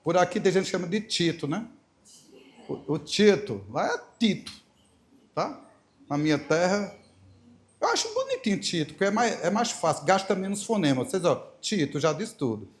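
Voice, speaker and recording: Middle-aged preacher with a Paraíba accent. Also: male voice, high voice, big pitch swings, normal speed. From Paraíba, Brazil. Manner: warm, storytelling, playful asides, emphatic repetition. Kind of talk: church talk with Bible reading.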